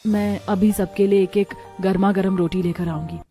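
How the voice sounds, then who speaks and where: Woman as a patient young daughter-in-law, mellow-voiced. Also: mid-range voice, steady tone, moderate pace, faint music behind, natural voice. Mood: hopeful. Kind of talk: acted dialogue in a narrated story.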